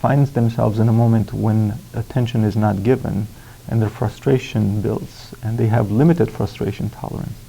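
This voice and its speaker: Creaky, mellow man explaining formally. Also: on the low side, fairly even intonation, poor audio. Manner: deliberate, halting, formal wording. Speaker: expert interviewee. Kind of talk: explanatory interview.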